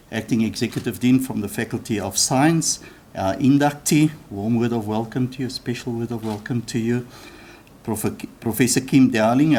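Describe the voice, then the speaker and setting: A man with a South African accent chairing a university ceremony, delivering a formal, measured welcome speech with occasional restarts. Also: mid-range voice, darkish tone. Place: university lecture hall.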